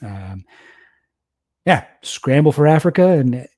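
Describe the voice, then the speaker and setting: A young male streamer talks off the cuff in a mellow, relaxed voice. He rambles, laughs easily and puts himself down as he goes. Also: mid-range voice, slow. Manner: rambling, self-deprecating, laughs often. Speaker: streamer reacting to a debate. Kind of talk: casual livestream commentary.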